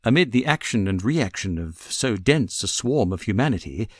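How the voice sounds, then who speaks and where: Guy doing a clever victorian detective, british, precise n amused, swoopy pitch, audiobook, dry recording. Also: lively intonation, moderate pace, no room sound. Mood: amused, musing. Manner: precise, expository, theatrical. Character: brilliant, self-assured Victorian gentleman detective, amused and precise. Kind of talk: audiobook character reading.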